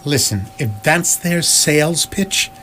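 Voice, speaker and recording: A woman's voice: deep voice, expressive, takes it slowly, fairly bright tone, soft background music, full character voice. A woman playing an anxious, dryly sarcastic reluctant space traveller in comic radio theatre. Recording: noisy live stage recording.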